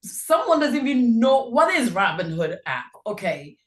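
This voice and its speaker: Woman host, fairly high voice, chatty and rambling, on a video call in an echoey room. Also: sing-song, normal speed. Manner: chatty, rambling questions with fillers. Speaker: interview host. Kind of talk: hosting a live-streamed interview.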